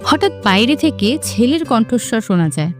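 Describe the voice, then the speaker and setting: A woman's voice: on the high side, very animated, brisk. A woman narrator reading a scripted romantic story. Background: music.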